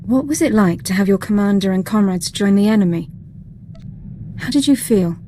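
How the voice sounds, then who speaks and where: A woman's voice: mid-range voice, lively intonation, normal speed, warm tone, lightly acted. Formal, composed female military officer played by an actress, background noise. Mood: respectful, curious. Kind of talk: video game dialogue acting.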